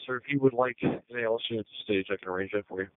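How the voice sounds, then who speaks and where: A man's voice: lowish voice, racing, no room sound. Polite man on ambulance radio. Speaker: ambulance crew member. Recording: phone-like radio audio.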